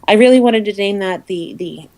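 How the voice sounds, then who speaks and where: A woman speaking as an interview guest, explaining things informally in a halting way with many ums. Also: medium pitch, darkish tone. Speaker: interview guest, awards organiser.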